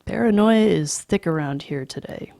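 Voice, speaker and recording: A measured male psychologist lays out blunt advice without hurry, acted by a woman reading a storybook. The voice is low-pitched, gravelly and dry. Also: animated, warm tone, no echo. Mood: wry. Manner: measured, frank advising. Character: calm middle-aged male psychologist, frank and wry.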